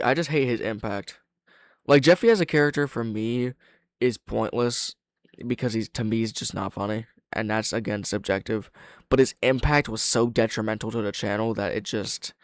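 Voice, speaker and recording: A man's voice: mid-range voice, no room sound. Dry close-miked man reacting casually. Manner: hesitant, self-interrupting, frequent swearing. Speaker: reaction host. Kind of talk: casual off-the-cuff reaction commentary.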